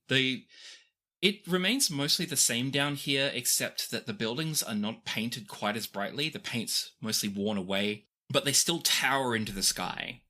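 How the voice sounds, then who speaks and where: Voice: medium pitch, bright, forceful tone. A game master narrating a tabletop adventure in a descriptive, conversational way.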